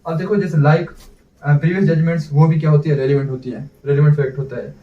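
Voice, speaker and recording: A man's voice: fairly high pitch, fairly even intonation, brisk. A man with a Pakistani accent giving an explanatory exam-prep lecture as a teacher, often asking himself questions and answering them. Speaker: law teacher. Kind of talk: explanatory exam-prep lecture in Urdu mixed with English terms. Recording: dull, echoey room, distant mic.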